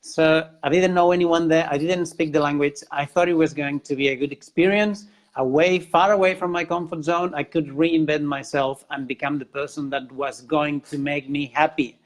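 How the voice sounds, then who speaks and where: A man with a Spanish accent speaks in a fairly high voice. He tells his life story in a casual interview, earnest and a little halting, often restarting and repeating himself. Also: fairly even intonation. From Spain, Catalonia. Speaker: interview guest.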